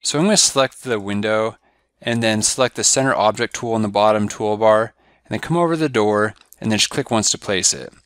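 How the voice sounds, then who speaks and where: A man giving a step-by-step software tutorial over a screen recording, walking through each click in a steady, instructive way. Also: on the low side, a little fast, clean tone, no room sound, studio quality. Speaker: software trainer.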